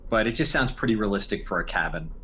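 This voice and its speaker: A man giving an informal tutorial, talking haltingly and thinking aloud as he demonstrates his work. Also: fairly low pitch, lively intonation, sharp tone, slight background noise. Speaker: podcast creator demonstrating sound design.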